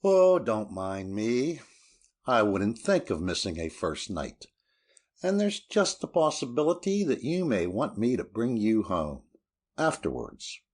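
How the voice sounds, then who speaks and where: A man plays a wounded, courteous husband in an audiobook drama. His low voice rises and falls widely, while the delivery stays formal, measured and bitterly wry. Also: big pitch swings, a little mellow. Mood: wry, polite.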